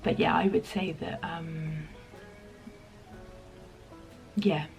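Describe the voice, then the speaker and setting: Woman, 30s, from the UK, vlogger; low, gravelly, thoughtful and halting. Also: steady tone, faint music behind. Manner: reflective, soft-spoken, many pauses and ums. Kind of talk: casual chat to camera.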